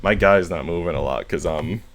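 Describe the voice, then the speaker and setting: Man reading stories aloud, expressive. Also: deep voice, normal speed. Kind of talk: expressive story read-aloud.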